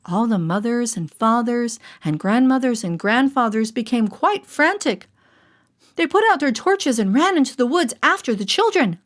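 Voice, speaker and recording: A woman narrating a children's story in a theatrical, deliberate way, with music behind her. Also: a little high, animated. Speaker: storyteller and voice artist.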